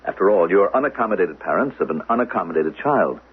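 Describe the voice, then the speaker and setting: An actor playing an officious, probing teacher and suspected spy in a 1970s radio drama, formal and needling, with a husky voice. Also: male voice, sits low, animated, quick pace, exaggerated voice. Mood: insinuating, probing. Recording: narrow, phone-like old radio sound.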